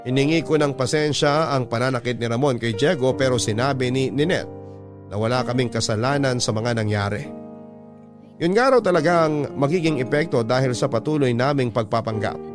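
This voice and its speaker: A man's voice: medium pitch, brisk. A man narrating a first-person story for a radio drama in a scripted storytelling style. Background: instrumental music.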